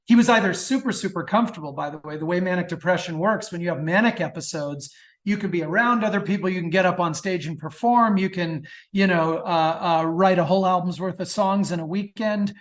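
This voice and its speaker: A man's voice: sits high, brisk. A middle-aged man chatting casually as an interview guest, enthusiastic and prone to digressions. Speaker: guest author.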